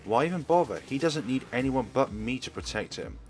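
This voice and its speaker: Man voicing a brash, raised-pitch hot-headed kid. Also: lively intonation, brisk, a little mellow, natural voice. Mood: defiant.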